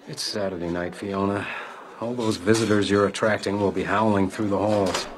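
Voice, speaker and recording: A man's voice: lowish voice, fairly even intonation, moderate pace, a crowd in the background, close to an everyday voice. Dry, wry hotel detective played by a man. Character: wry, weary male hotel house detective, former mountain guide. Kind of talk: 1920s detective radio drama acting.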